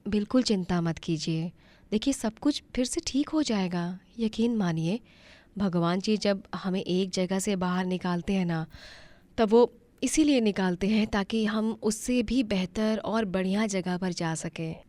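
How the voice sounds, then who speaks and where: A woman voicing a gentle, devout wife in a moral story, soothing and encouraging. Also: medium pitch, unhurried, dry recording, lightly acted. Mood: comforting. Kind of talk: acted moral-story dialogue.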